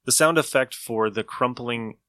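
A man hosting a podcast in casual chat about old radio shows, telling things in an animated way. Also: mid-range voice, normal speed, heavy background noise. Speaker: podcast host.

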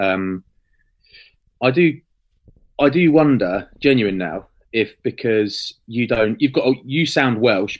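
A man hosting a podcast, chatting casually and teasing his co-host in playful banter. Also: lowish voice. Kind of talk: casual chat.

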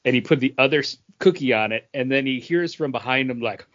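Mid-pitched man telling anecdotes, dull audio. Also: quick pace, a bit bright. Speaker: podcast co-host. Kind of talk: casual chat and anecdotes.

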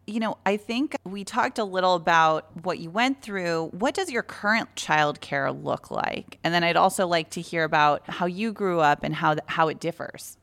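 Woman, 30s, podcast host, very low pitch, enthusiastic, affirming. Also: expressive, normal speed, few pauses, a bit bright. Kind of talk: casual podcast interview.

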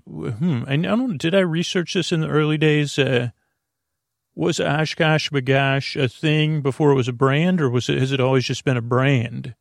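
A man hosting a bedtime podcast in a meandering, halting, reassuring monologue that often trails off and circles back. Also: vocal fry, dry recording, studio quality. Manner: reassuring, low-pressure, addresses the listener directly. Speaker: host of a bedtime sleep podcast. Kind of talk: rambling bedtime monologue to help listeners fall asleep.

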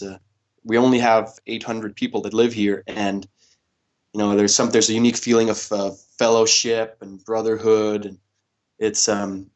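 A young man from Denmark answering interview questions in a relaxed way, with many fillers and restarts. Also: lowish voice, lo-fi sound. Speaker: interview guest, paddle athlete.

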